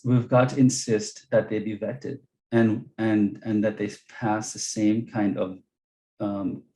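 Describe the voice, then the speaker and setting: A man's voice: on the low side, creaky, mellow, poor audio. A man from Jamaica with a Jamaican accent, an earnest guest speaker on a video call who repeats words as he searches for them. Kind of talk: webinar talk and Q&A answers.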